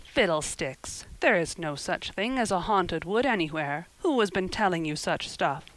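A woman's voice: fairly low pitch, lively intonation, fairly bright tone, no room sound, poor audio. Woman playing a stern, sarcastic older guardian. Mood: exasperated, scolding. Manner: brisk, blunt, scolding.

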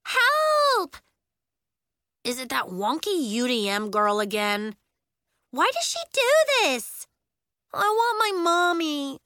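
A woman's voice: medium pitch, steady tone, normal speed, few pauses, a bit bright, no room sound, clean studio sound, natural voice. A woman voicing a panicky, whining young school child in a children's audiobook, wailing. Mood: panicked. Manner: wailing, squealing.

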